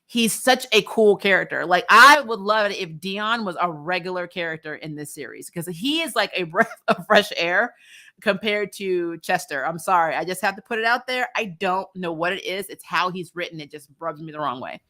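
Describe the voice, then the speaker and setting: A woman's voice: lowish voice, normal speed, pushed and bright. A woman hosting a recap show, reviewing in a casual, chatty, slangy way and animatedly retelling things. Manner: chatty, slangy, punctuated with laughter.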